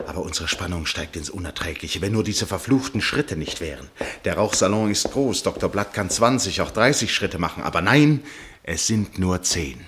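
An actor playing an absorbed first-person narrator in a literary radio play, measured and suspenseful, with deliberate pauses and a husky voice. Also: male voice, low-pitched, expressive, a bit bright, slight background noise. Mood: mounting tension. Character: absorbed male first-person narrator watching a tense match.

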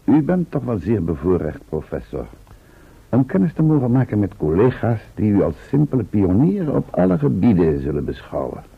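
Husky, protesting learned doctor. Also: male voice, on the low side, very animated, normal speed, exaggerated voice. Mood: sarcastic. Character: baffled, protesting male doctor, the professor's sceptical colleague. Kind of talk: radio play acting. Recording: dull old radio recording.

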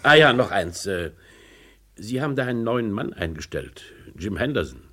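An actor playing an authoritative, distrustful mine boss in a radio crime play, with a commanding, deliberate manner. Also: male voice, on the low side, sing-song, sharp tone, full character voice. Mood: sceptical, pressing.

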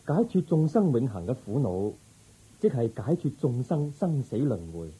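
Young spiritual prince, Cantonese, resolute, measured, very husky, lively pitch, radio drama. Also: male voice, low-quality recording. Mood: earnest. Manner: earnest, measured, firm. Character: resolute young prince turned spiritual seeker, calm, compassionate.

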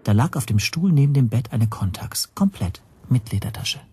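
A man's voice: medium pitch, animated, moderate pace, mellow, breathy edge. An actor playing the first-person narrator of a radio drama, recounting his predicament in a subdued, introspective way. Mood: subdued, tense.

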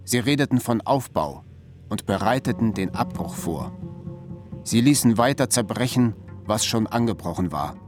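An actor narrating a literary radio drama as a foreboding chronicler of a divided country. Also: male voice, fairly low pitch, lively intonation, moderate pace, close to an everyday voice. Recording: music behind the voice.

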